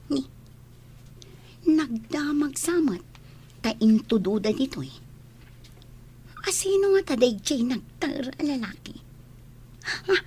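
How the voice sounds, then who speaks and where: A woman's voice: high-pitched, darkish tone, slightly rough, heavily acted. A woman playing a vain, boy-crazy young village daughter in a comedy radio drama, gushing and exclaiming.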